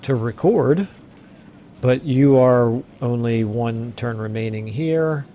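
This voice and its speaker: Hoarse man musing through a strategy game. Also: medium pitch, slow, dark tone, a little hiss or hum. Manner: musing, thinking aloud with fillers. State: hoarse, losing his voice. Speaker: let's play host. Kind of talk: casual game commentary.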